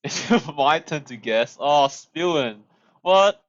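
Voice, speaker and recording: Slow-talking guy live-coding. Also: on the high side, animated, lo-fi sound. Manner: thinks aloud, restarts phrases, chuckles often. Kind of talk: casual live-stream coding chat.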